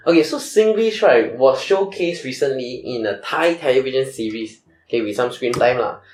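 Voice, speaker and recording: A man with a Singaporean accent hosting a casual chat podcast, relaxed and quick to laugh. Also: mid-range voice, echoey room. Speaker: podcast host.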